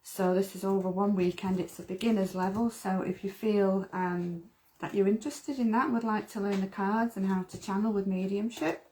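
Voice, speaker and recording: A woman's voice: mid-range voice, steady tone, moderate pace, darkish tone, low-quality recording. A middle-aged woman, a tarot teacher, chatting casually and a little hesitantly. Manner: friendly, hesitant, lots of ums. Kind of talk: casual chat.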